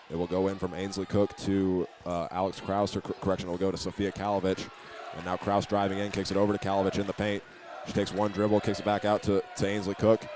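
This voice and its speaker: A man with a lowish, fairly flat voice calls a live high school basketball game. He narrates each play methodically as the announcer. Clear gym noise and bouncing balls sit behind him.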